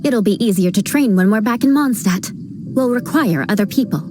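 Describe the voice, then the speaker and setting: A woman's voice: mid-range voice, animated, moderate pace, close to an everyday voice. An actress playing a proud young noblewoman knight captain who talks with dry haughtiness and vows vengeance over every little slight. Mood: wry. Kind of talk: video game voice acting. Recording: game dialogue with background music.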